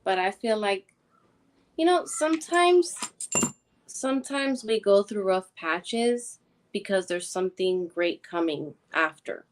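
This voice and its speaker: A woman, a guest psychic on a live stream, giving reassuring readings with many pauses and ums. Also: medium pitch, animated, a little hiss or hum.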